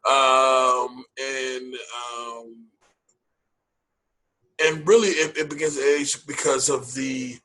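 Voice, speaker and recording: A man's voice: mid-range voice, a bit bright, low-quality recording. A man co-hosting a movie podcast in casual chat, loose and hype-style, repeating phrases and using slang. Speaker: podcast co-host.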